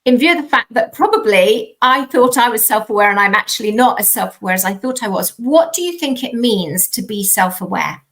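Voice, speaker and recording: A woman hosting her podcast, welcoming and questioning a guest with encouraging, drawn-out emphasis. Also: fairly high pitch, expressive, fairly bright tone. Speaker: podcast host.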